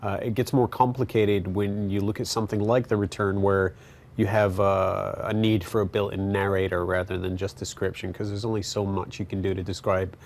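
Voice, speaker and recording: Gravelly low male interviewee. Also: little pitch movement, moderate pace, darkish tone, a little hiss or hum. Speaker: writer. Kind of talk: behind-the-scenes interview.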